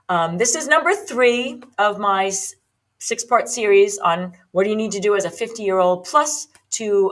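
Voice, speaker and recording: A woman in her late fifties talks through her own fitness podcast in a loose, rambling way. She often stops on fillers and restarts her sentences. Her pitch sits in an ordinary middle range. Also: fairly bright tone. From United States. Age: 50s. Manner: chatty, rambling, with many ums and restarts. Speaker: podcast host and fitness trainer. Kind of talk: solo podcast talk.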